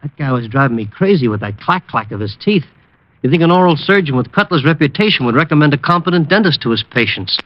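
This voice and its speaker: A man's voice: on the high side, lively intonation, quick pace, no echo, lightly acted. A man playing an irritable, sleepless blackmail victim, testy and impatient in vintage radio style. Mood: irritated. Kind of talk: 1940s-50s radio acting. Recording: muffled old radio recording.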